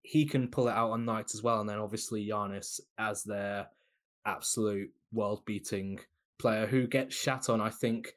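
A man giving casual, opinionated basketball analysis as a podcast guest, with a relaxed, chatty delivery and the odd laugh. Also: on the low side, normal speed. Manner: loose, opinionated, laughs between points.

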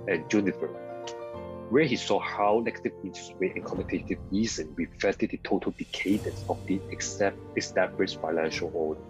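A man with a husky voice hosting a podcast, introducing a guest and asking questions. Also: low-pitched, highs cut off. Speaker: podcast host. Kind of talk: scripted introduction and interview questions.

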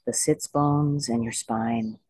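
Meditation teacher guiding slowly, dry close sound. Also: medium pitch, fairly even intonation, a little mellow, slightly rough, dry recording. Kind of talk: guided body scan meditation.

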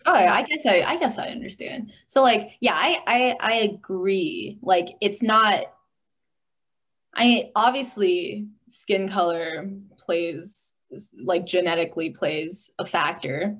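A woman's voice: mid-range voice, a bit bright. Young American female, mid-range voice, casual and giggly, feeling out her thoughts as she talks. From United States. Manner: halting, giggly, thinks aloud. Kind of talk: casual chat.

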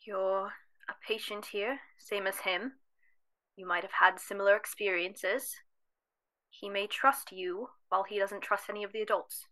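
A woman playing an earnest facility staff member in an audio drama, gently persuasive and pleading. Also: medium pitch, fairly even intonation, measured pace.

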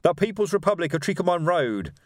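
A man's voice: high voice, lively intonation, fairly quick, no echo, studio quality, pitched above the performer's own voice. A man voicing a prim, idealistic revolutionary in an audiobook, preachy and insistent. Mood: proud. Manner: preachy, insistent, easily offended. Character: earnest, prim, idealistic male revolutionary organiser.

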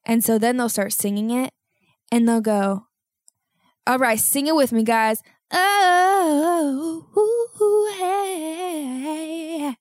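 A young woman hosting a solo podcast, talking in a rambling, self-mocking way with loud outbursts, and sounding congested. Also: high voice, very slow, no echo, studio quality. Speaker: podcast host.

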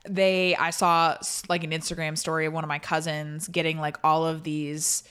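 Young woman, low voice, teasing podcast co-host, quick casual reactions. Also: little pitch movement, fairly bright tone, smooth. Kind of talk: casual chat.

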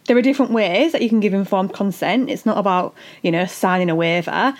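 A woman with a husky, fading voice, hosting an educational podcast and explaining things earnestly, step by step. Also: medium pitch, fairly quick. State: husky voice after illness. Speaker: podcast host and doula. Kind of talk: solo educational podcast talk.

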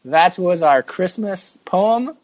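A man's voice: sits high, lively intonation, takes it slowly, darkish tone, no echo. A man hosting a casual sports podcast with jokey, slangy banter. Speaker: podcast host.

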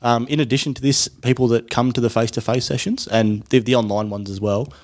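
A man's voice: medium pitch, fairly even intonation, fairly quick. A man with an Australian accent, a paramedic coordinator explaining things as a guest in an informal interview.

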